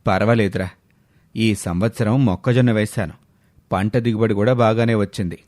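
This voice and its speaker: A man plays a middle-aged village farmer in an audio story, pitched down deep. He is a gruff, stern, traditional father. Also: steady tone, normal speed, darkish tone, dry recording, studio quality, natural voice. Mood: matter-of-fact. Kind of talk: scripted audio story dialogue.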